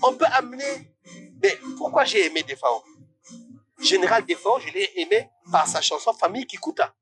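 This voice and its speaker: A man's voice: sits high, expressive, normal speed, fairly bright tone, soft background music. A man from Congo, a musician talking as a guest in a casual interview, passionate and rising to indignant questions.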